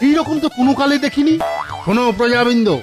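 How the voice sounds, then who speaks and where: Blustering cartoon monarch male actor, extremely high voice, imperious and scolding, spiteful. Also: fast, music in the background. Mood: angry, imperious. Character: blustering, vindictive cartoon king.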